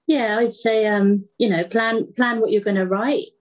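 A woman's voice: a little high, fairly even intonation, moderate pace, highs cut off. A woman speaking as a podcast guest in casual interview chat. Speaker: podcast guest, indie audio drama creator.

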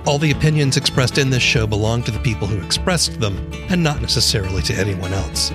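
Man, podcast host, scripted narration, middle-pitched voice, prominent guitar backing. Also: lively intonation, fast talker. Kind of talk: scripted narration and interview questions. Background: guitar music.

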